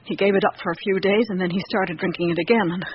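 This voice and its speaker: An actress playing a loyal, troubled old woman in service to a count in a radio drama, speaking in a measured, hesitant, plaintive way. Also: fairly low pitch, fairly even intonation, close to an everyday voice. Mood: subdued. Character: loyal elderly female servant of a count, troubled. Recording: muffled, noisy old radio recording.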